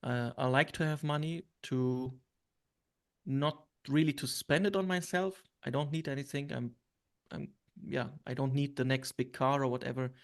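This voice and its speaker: Gravelly-voiced man from Syria, hesitant. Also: mid-range voice, fairly even intonation, normal speed. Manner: hesitant, many fillers and restarts. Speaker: interview guest. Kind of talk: reflective interview answers.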